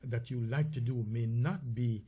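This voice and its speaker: Husky-voiced man with a Caribbean accent. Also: mid-range voice, warm tone. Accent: Caribbean English. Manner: conversational, halting, many fillers and restarts. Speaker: co-host of a health talk show. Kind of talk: casual livestream health talk.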